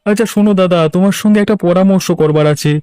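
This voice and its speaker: A man's voice: sits high, racing, dark tone, smooth, natural voice. A man voicing an eager, helpful village labourer in a cartoon, with a reassuring, deferential manner. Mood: friendly, reassuring. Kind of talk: animated story voice acting.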